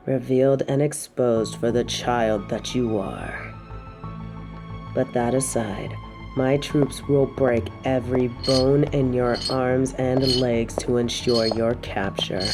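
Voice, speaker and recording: A woman's voice: extremely low pitch, fairly even intonation, music in the background, heavily acted. A woman playing a possessive, imperious evil queen in an audio roleplay, taunting and haughty. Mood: gloating, menacing. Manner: slow, taunting, imperious, with breathy drops to a whisper. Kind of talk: scripted audio roleplay drama.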